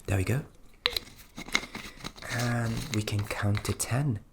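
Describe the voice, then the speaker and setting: A performer voicing a flustered, kindly fairy magic specialist in a fantasy ASMR roleplay, speaking softly and hesitantly, often dropping into a whisper. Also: medium pitch, very noisy, natural voice. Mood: helpful, gentle. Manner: hesitant, halting, repeats words, often whispering.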